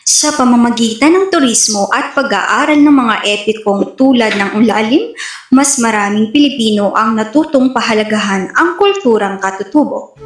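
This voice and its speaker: A woman's voice: on the high side, moderate pace. A woman with a Filipino accent reading scripted narration for a folk epic dramatization. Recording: echoey room.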